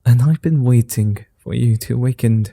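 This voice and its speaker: A man playing a suave, flirty vampire in an ASMR roleplay, speaking softly in courtly, formal phrases with a gentle, teasing manner. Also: mid-range voice, no echo. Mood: calm, reassuring. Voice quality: soft, dark, breathy. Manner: courtly formal wording, unhurried pauses, teasing.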